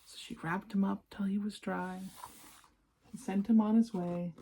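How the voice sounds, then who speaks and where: Female children's read-aloud presenter, slightly husky, gentle and encouraging, talks straight to the young listener. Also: mid-range voice, fairly even intonation, measured pace. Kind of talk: expressive storybook reading with asides to young listeners.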